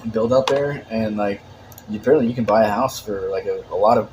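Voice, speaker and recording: A man's voice: on the low side, quick pace, noisy background. Rambling man in casual chat. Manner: rambling, full of "like" and restarts.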